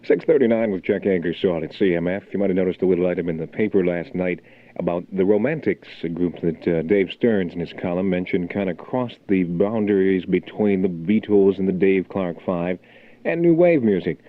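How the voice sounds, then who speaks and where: A man's voice: low-pitched, a little fast. A man hosting a morning rock radio show, talking in a laid-back, conversational DJ style through announcements. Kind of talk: album rock radio DJ patter with local announcements. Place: radio studio. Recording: muffled phone-like 1980 radio aircheck, music behind. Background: music.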